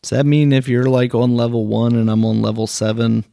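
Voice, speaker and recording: A man's voice: medium pitch, fairly even intonation, a little fast, vocal fry, dark tone, smooth, no room sound, clean studio sound. A man co-hosting a casual gaming news podcast, joking dryly and laughing often. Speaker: podcast co-host.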